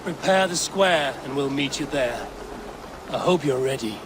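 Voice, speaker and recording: An actor playing a seasoned Viking warrior who speaks in a measured, commanding way. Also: male voice, mid-range voice, expressive, noisy background, natural voice. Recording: game cutscene with loud ambience.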